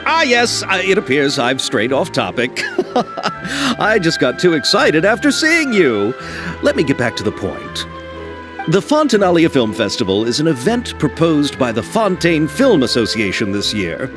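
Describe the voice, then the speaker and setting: A man's voice: fairly high pitch, a little slow, over music. A man playing an eager, polite and bookish young filmmaker in a video game, explaining things earnestly and wordily. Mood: enthusiastic. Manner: earnest, wordy explainer, very expressive. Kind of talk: video game cutscene acting.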